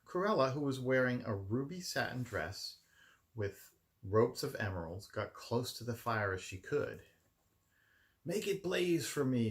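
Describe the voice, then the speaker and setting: Male storybook reader, home setting, deep-leaning register with heavy vocal fry, expressive narration. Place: his room at home.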